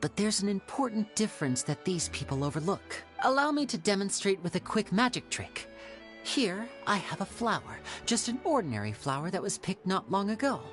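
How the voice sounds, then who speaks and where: A woman playing a suave, theatrical young male stage magician in an anime game, smooth and showmanlike. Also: mid-range voice, big pitch swings, moderate pace, natural voice. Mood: smooth, showy. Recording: music playing behind.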